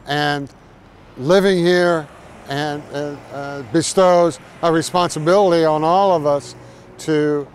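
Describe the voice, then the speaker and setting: A man giving a halting interview outdoors, with fillers and pauses. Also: a little high, fairly even intonation, a little hiss or hum.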